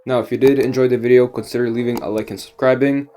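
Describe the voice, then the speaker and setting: A man's voice: mid-range voice, fairly even intonation, fast, dark tone, soft background music. Man with a cold explaining game tactics. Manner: explanatory, leans on "basically" and "now". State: has a cold, voice slightly off. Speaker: gaming YouTuber. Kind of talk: game strategy tutorial voice-over.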